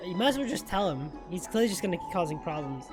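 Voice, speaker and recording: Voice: medium pitch, sing-song, fast. A game streamer giving casual, informal reaction commentary and thinking aloud during a playthrough. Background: game music.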